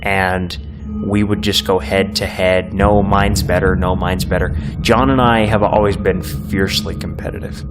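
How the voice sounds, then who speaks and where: Low-voiced young man reminiscing over music. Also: level tone, moderate pace. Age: late 20s. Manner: nostalgic, earnest. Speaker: audio drama creator. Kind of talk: reflective documentary interview. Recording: music playing behind.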